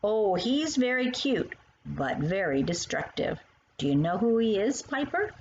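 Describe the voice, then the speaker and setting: A woman's voice: medium pitch, sing-song, measured pace, darkish tone, lo-fi sound, slight background noise. A woman voicing a kindly, playful older woman who patiently explains things to a young child in a storybook. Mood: fond teasing.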